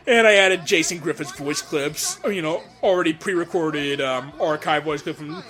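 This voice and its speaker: A man giving casual, excited director's commentary, stammering and repeating words as he goes. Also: a little high, moderate pace, soft background music. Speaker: comic dub director giving audio commentary.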